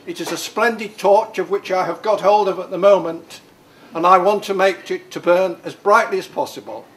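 A man in his eighties giving a wry birthday speech in a club function room. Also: high voice. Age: 80s. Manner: wry, dry humour with pauses for laughs. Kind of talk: after-dinner speech. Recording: crowded noisy party room.

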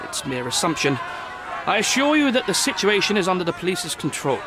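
Harried game bureaucrat, slightly high voice, formal and defensive, noisy crowd behind. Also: male voice, sing-song, brisk. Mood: defensive, stiff. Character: officious, harried city hall official, defensive. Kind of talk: video game cutscene acting.

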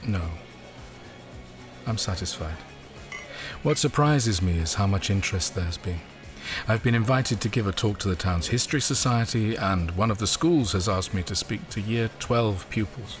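A man's voice: on the low side, moderate pace, over music, lightly acted. An actor playing a modest, mild-mannered man in an audio drama, speaking politely and a little hesitantly. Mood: mild, content.

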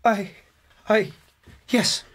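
A man's voice: extremely slow, fairly bright tone. An actor playing a nervous male robbery victim in a radio drama. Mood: cowed.

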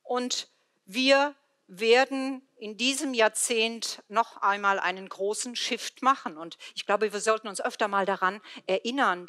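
A middle-aged woman speaking emphatically and deliberately as a moderator on an indoor stage, wearing a headset microphone. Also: mid-range voice, pushed and bright. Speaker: moderator of a panel talk. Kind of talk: stage presentation and panel moderation.

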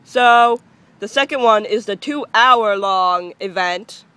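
A woman's voice: medium pitch, slow, sharp tone, clean tone, no room sound. A young girl hosting a home video with toy animals, announcing events in a playful way. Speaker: host of a toy animal show.

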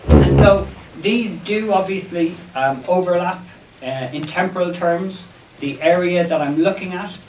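Higher-voiced Irish man presenting in an echoey room. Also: very animated, measured pace, a little mellow. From Ireland. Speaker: academic presenter at a conference. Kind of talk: academic paper presentation, semi-scripted. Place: wood-panelled seminar room, indoors. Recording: echoey room, distant mic, background noise.

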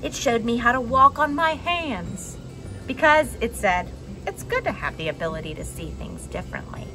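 Animated, high-pitched young woman reading a storybook. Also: over music, low-quality recording. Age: young adult. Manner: animated, dramatic pauses and emphasis. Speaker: storybook reader for a children's church message. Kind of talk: expressive children's read-aloud narration. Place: outdoors on a wooden deck among trees.

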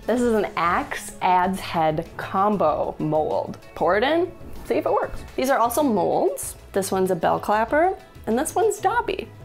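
A woman in her thirties walks through a pile of homemade items, playful and quick to laugh. Her pitch swings widely from line to line. Soft background music sits under her voice in an indoor studio. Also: vocal fry. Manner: playful, jokey, laughs often. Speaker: host. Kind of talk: casual show-and-tell of items. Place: indoor studio with a blue wall.

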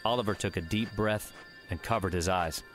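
A man's voice: deep voice, normal speed, fairly bright tone, soft background music. A man reading scripted audiobook narration.

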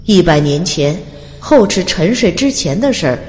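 A woman's voice: fairly low pitch, very animated, moderate pace, darkish tone, big-room sound. A woman narrating a fantasy audiobook in a dramatic, scripted storytelling style. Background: music.

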